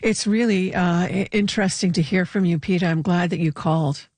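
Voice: mid-range voice, fairly quick, darkish tone, no echo. A talk show host interviewing a caller and a guest, speaking hesitantly with many fillers.

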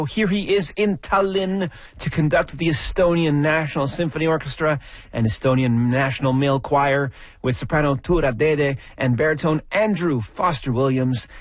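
A man hosting a classical radio program, narrating a scripted introduction in a measured, explanatory way. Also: on the high side, no echo. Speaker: radio host. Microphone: studio microphone. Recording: dry studio sound.

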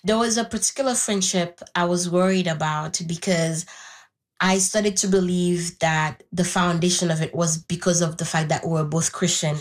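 Low-voiced young woman from Haiti. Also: fairly even intonation. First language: Haitian Creole. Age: 20s. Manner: thoughtful, pausing, with restarts. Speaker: interview guest. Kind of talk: reflective personal conversation.

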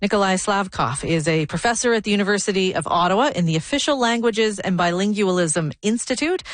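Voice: mid-range voice, dry recording. A morning radio host introduces segments and questions a guest in easy conversational talk. The voice is close and dry, as in a studio. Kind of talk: radio interview hosting.

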